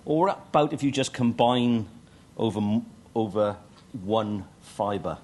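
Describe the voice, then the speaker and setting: A man's voice: on the low side, animated, slow, a little mellow, slight background noise. A middle-aged man presenting a technical talk at a conference, with dry humour and frequent ums and uhs. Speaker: conference speaker. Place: conference hall stage.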